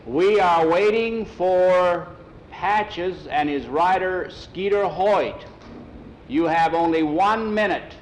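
A man voicing a formal racetrack announcer in a told story, projecting slowly in drawn-out phrases. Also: sits high, a little hiss or hum, voice pushed up, low-quality recording. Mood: formal, booming.

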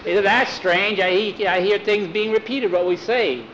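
Guy doing a folksy jolly country father, highish voice, faint noise. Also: unhurried, fairly bright tone, lo-fi sound. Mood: jovial.

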